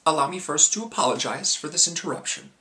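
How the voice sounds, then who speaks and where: A man playing an eloquent revolutionary who addresses the nation in a measured, deliberate theatrical film monologue. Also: fairly high pitch, lively intonation, bright, forceful tone, pitched above the performer's own voice. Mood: courteous, calm.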